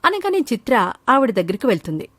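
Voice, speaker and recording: A woman narrates a scripted children's tale as storyteller. Her voice is high, and the recording is dry with no room sound. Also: sing-song, brisk, a bit bright, no room sound.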